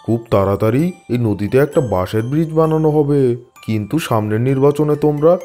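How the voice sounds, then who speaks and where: A scheming village politician, acted by a man in a cartoon. The voice is dark, medium in pitch, declamatory and self-assured over faint music. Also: fairly quick, close to an everyday voice. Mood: grandly promising. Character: scheming male village politician making promises.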